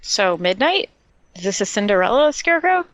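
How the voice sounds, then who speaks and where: A woman's voice: mid-range voice, big pitch swings, normal speed, fairly bright tone, no echo, lo-fi sound. Joking female podcast co-host, dry sound. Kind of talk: casual comic banter and story reading.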